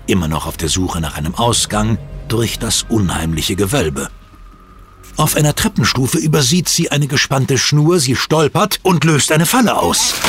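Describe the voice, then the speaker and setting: A male narrator tells a children's audio drama. His delivery is expressive and suspenseful, with very animated pitch movement at a medium register, over faint music.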